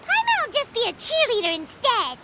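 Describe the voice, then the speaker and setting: A woman voicing a tiny, chirpy, carefree fairy-like companion in a video game, with a squeaky, childish manner. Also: huge pitch swings, a little fast, slight background noise, heavily acted. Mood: breezy, cheerful. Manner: squeaky, childish, refers to self in third person. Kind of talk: video game character acting. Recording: loud music behind the voice.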